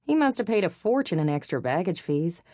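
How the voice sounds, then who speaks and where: A woman's voice: on the low side, sing-song, brisk, dark tone, dry recording. A sassy best-friend type from an audiobook, voiced by a female narrator. She is a young woman with a teasing, blunt streak. Her speech is informal and punchy, in a dry recording. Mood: mocking.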